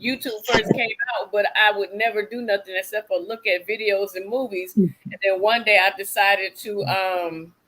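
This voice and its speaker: A woman's voice: medium pitch, steady tone, normal speed, fairly bright tone, rough recording. A woman hosting a livestream in casual chat, rambling and acting out what people said. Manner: chatty, rambling, acts out quotes. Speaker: livestream host. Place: desert home.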